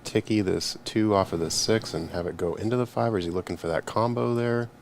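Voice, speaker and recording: A man's voice: fairly low pitch, brisk, vocal fry, slight background noise. A young man commentating on a pool tournament from the booth, easygoing and asking questions with frequent "uh" fillers. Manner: easygoing, asks questions and fills with "uh". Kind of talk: live sports commentary.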